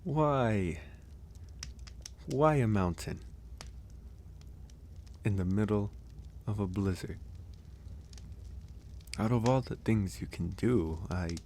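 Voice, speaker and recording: A man playing a gentle, reflective werewolf caretaker in an intimate ASMR roleplay, soft-spoken and thoughtful with hesitant pauses. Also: on the low side, lively intonation, a little hiss or hum. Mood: gently teasing.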